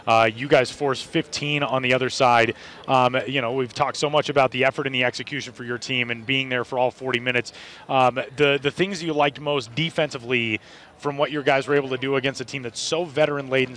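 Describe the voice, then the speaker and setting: A man's voice: mid-range voice, a bit bright. A man hosting a college basketball broadcast from courtside in an arena, interviewing the coach and then signing off with the final score in a polished announcer's delivery. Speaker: play-by-play broadcaster. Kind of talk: post-game broadcast interview and sign-off.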